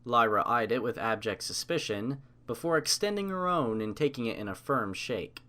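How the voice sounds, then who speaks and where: Expressive male story narrator. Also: medium pitch, normal speed.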